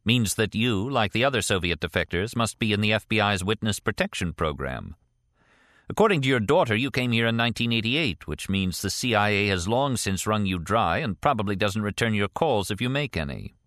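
A man's voice: on the low side, animated, a little fast, fairly bright tone, no echo. A man reading an audiobook plays a sceptical male ex-CIA detective with a dry, sardonic, reasoning manner.

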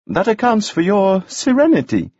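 Man reading cold formal gentleman, precise, sing-song lilt, dry sound. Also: sits high, wildly swooping pitch, normal speed, breathy edge, no echo, lo-fi sound, pitched above the performer's own voice, full character voice. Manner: clipped, precise, icily polite. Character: cold, formal, coolly mocking gentleman host.

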